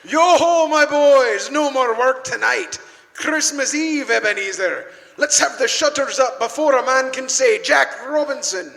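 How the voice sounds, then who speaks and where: A man's voice: extremely high pitch, unhurried, gravelly, a bit bright, full character voice. A man playing a jolly old merchant boss in a live radio drama, calling out heartily and exuberantly. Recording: live in reverberant church hall.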